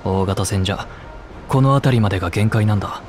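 A man's voice: lowish voice, fairly quick, darkish tone, faint music behind, lightly acted. An actor voicing a stoic young elf swordsman who speaks in a curt, level way. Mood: steady.

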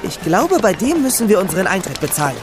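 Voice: mid-range voice, very animated, fast talker, over music, natural voice. A performer playing an eager boy who loves knights and proudly shows off what he knows, in a children's audio drama. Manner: enthusiastic, showing off what he knows.

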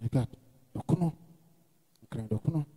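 A man with a Ghanaian accent commenting and quickly translating each line into English with short interjections. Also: on the high side, lively intonation, mellow, slightly rough.

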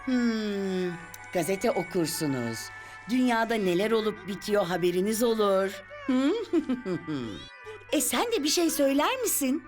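A woman voicing a brisk, bossy but fond mother in a preschool cartoon. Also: medium pitch, sing-song. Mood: brisk, nagging. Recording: loud music underneath.

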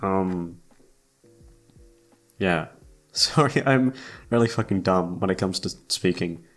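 Low-pitched young man rambling hesitantly. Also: slow pace, a bit bright. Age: young adult. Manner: hesitant, self-deprecating, sweary, trails off. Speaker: YouTube voice actor and channel host. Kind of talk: casual rambling chat to listeners. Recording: music playing behind the voice. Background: background music.

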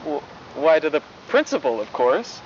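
A young man playing a cheery, faintly menacing high school news anchor in a 1990s horror broadcast, with formal, polished delivery. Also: very animated, normal speed. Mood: formal, upbeat. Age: young adult. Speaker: news host. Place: outdoors in a forest at night. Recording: dull lo-fi VHS-style audio, faint noise.

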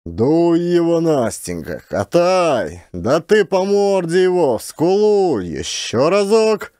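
A rowdy village coachman, played by a male narrator, calls out in blunt rustic speech. The voice is pushed up high and recorded dry, with a rough, goading manner. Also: big pitch swings, takes it slowly, warm tone, dry recording. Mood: jeering, egging on. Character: rowdy, jeering 19th-century coachmen, rough peasant men. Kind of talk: audiobook character acting.

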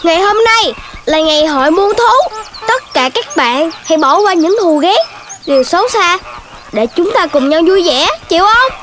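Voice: extremely high pitch, lively intonation, normal speed, fairly bright tone. An actor voicing an eager, childlike young animal friend in a children's cartoon, with a chirpy, exclamatory way of talking. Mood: cheerful, announcing. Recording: music behind the voice.

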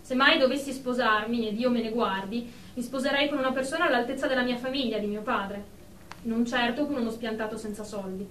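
A woman's voice: a little high, a little fast, a bit bright, natural voice. An actress playing a haughty, easily offended professional woman in a radio drama, speaking in a formal, superior, indignant manner. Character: haughty, prim, easily offended female office professional in therapy. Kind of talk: Italian radio drama acting. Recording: echoey room, distant mic, background noise.

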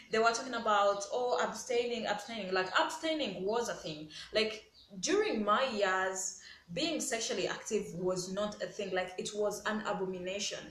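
A woman's voice: medium pitch, a bit bright. A woman in her twenties with a Ugandan accent, speaking emphatically to camera in a casual opinion vlog and repeating key words. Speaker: vlogger. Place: small bare-walled room indoors. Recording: echoey room with background hiss.

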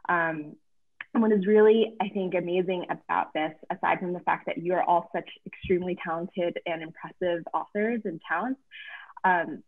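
A woman moderating a virtual author panel, speaking in an effusive, measured way over a video call. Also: on the low side, fairly even intonation. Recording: tinny phone-like video call audio.

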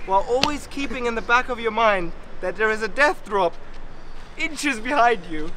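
A man's voice: extremely high pitch, lively intonation, normal speed, pushed and bright, slight background noise. A man in his twenties talking casually to camera on an outdoor rooftop, chatty and upbeat, laughing often as he explains his filming. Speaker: vlogger, parkour filmmaker. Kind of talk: casual vlog talk to camera.

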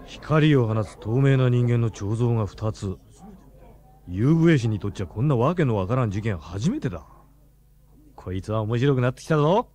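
A man's voice: medium pitch, animated, moderate pace, mellow, lightly acted. An actor playing a keen, hard-boiled male investigator in a radio drama. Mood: intrigued.

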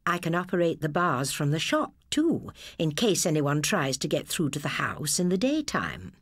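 A woman voicing a kindly, granny-like old shopkeeper in an audiobook, cosy and unhurried. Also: low voice, very animated, dry recording, studio quality. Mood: cosy, confiding.

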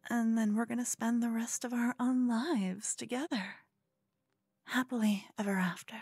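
A woman's voice: on the high side, fairly even intonation, normal speed, darkish tone, slightly rough, no echo. A woman playing a cute, obsessed, scheming yandere con woman in an ASMR roleplay, coy and cooing, often slipping into whispers. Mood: soothing, sinister.

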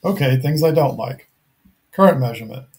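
A middle-aged man narrating a scripted technical product review in a measured, methodical, matter-of-fact way. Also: medium pitch, animated, a little mellow.

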